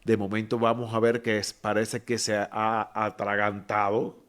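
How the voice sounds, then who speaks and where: A man's voice: lowish voice, level tone, unhurried, fairly bright tone. A man with a Cuban accent, a physician guest on a podcast, explaining patiently with many fillers and restarts. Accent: Cuban Spanish. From Cuba. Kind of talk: explanatory medical podcast talk.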